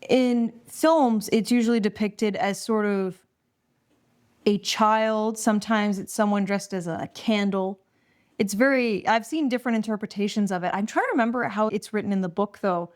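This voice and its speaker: A woman's voice: medium pitch, moderate pace. A woman co-hosting a casual book chat, retelling and discussing a story in an informal, plain-spoken way. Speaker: podcast co-host.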